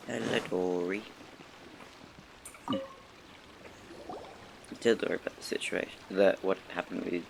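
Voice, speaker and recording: A man's voice: low voice, fairly even intonation, unhurried, gravelly, long pauses, noisy background. A man streaming a game, muttering short, casual, laconic commentary as he plays. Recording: game music behind.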